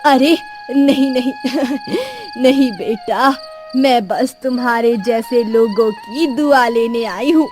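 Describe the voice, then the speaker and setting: A woman voicing a mysterious, kindly old village woman who speaks gently and solemnly, giving blessings in an animated moral story. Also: fairly high pitch, slow, faint music behind, voice pushed down. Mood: gentle, blessing. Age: older.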